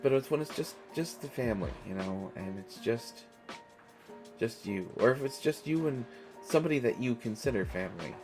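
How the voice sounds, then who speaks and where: An American man playing an awkward, kindly stranger in an intimate, casual audio roleplay, talking in a halting way with trailing pauses. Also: medium pitch, expressive, music in the background, lightly acted. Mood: reflective, gentle. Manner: hesitant, halting, with trailing pauses and self-corrections. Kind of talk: intimate one-sided audio roleplay, casual conversational acting.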